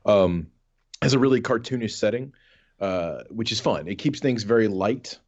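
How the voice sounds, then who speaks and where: Deep-voiced man, dull lo-fi audio. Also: expressive, normal speed, creaky, darkish tone. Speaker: podcast panelist. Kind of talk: casual game review chat. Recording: dull, band-limited voice audio.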